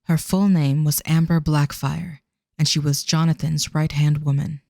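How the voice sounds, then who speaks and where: A narrator reading a scripted audio drama in a measured, descriptive storytelling manner. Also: medium pitch, flat delivery, gravelly, a little mellow, no room sound, studio quality.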